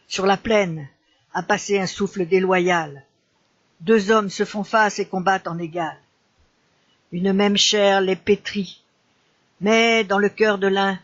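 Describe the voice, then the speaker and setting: A man's voice: high-pitched, fairly bright tone, muffled recording, exaggerated voice. A man reciting a poem as a grieving, solemn enchanter haunted by war, in a declamatory and mournful manner.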